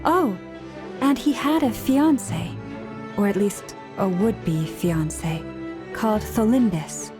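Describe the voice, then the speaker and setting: A woman's voice: medium pitch, lively intonation, takes it slowly, over music. A woman voicing an earnest young woman in a video game, explaining things sincerely.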